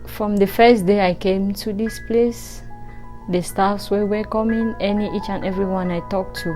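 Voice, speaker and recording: A woman, introducing herself in a measured, steady way in an interview. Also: medium pitch. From Cameroon. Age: 20s. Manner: slow, measured self-introduction. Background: background music.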